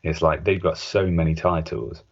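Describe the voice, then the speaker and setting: Very deep, husky man's voice. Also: steady tone, normal speed. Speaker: podcast co-host. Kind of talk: casual chat about retro cartoon video games. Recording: dull, muffled lo-fi audio.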